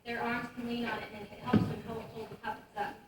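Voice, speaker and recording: A woman answers schoolchildren's questions in a plain, patient way, with a high voice. She is recorded from across a large, booming room. Strong tape hiss sits under everything. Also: little pitch movement, fast, very echoey. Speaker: puppeteer explaining to schoolchildren. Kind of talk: informal classroom explanation. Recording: booming gym, distant camcorder, heavy hiss.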